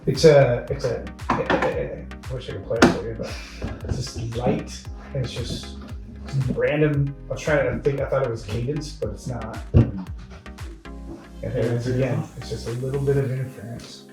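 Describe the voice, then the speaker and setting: A man's voice: mid-range voice, fairly even intonation, normal speed. A man working as a recording engineer, casually troubleshooting a technical problem aloud. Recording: roomy, distant mic, music behind.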